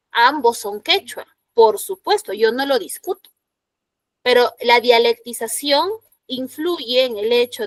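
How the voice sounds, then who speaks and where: A woman's voice: a little high, fairly bright tone, no room sound. A woman teacher with a Peruvian Spanish accent gives a classroom lecture. She explains patiently and keeps checking in with her students through short tag questions.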